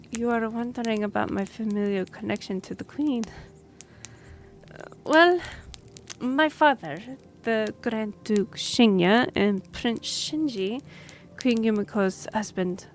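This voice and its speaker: A woman's voice: on the high side, takes it slowly. A woman playing an earnest young half-elf noblewoman and royal envoy in a fantasy roleplay audio drama, speaking formally with some awkward hesitation. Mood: flustered. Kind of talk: fantasy roleplay audio acting, addressing the listener.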